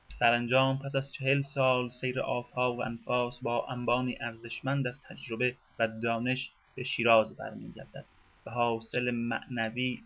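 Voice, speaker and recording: A man reading a scripted documentary narration for a radio program in a measured, formal manner. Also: mid-range voice, vocal fry, highs cut off.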